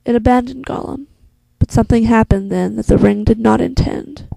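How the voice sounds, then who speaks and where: A woman playing a solemn, wise elf queen who narrates a fantasy monologue in a hushed, deliberate way, with a soft voice that slips toward a whisper. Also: a little high, fairly even intonation, creaky, no room sound, poor audio. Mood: hushed, ominous. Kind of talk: slow dramatic fantasy monologue.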